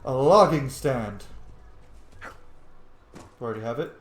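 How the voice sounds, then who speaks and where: A man's voice: mid-range voice, lively intonation, measured pace. A man giving casual, playful gameplay commentary, swearing now and then and chatting to the game's characters. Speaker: streamer playing and commenting on a video game. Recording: roomy echo with background noise.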